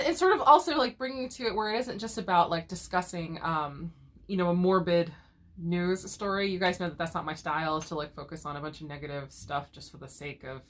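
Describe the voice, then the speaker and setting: A woman's voice: lowish voice, fairly bright tone. Woman, co-host, casual chat, lingering cough, laughs often, restarts sentences. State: lingering cough, cough drop in mouth. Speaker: co-host of couple chat channel.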